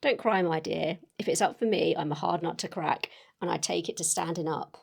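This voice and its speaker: Young male suitor woman performer: folksy, sincere, plucky, plain-spoken, gravelly fry on a lowish voice, storybook drama reading. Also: a little fast, heavily acted. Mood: hearty, kind.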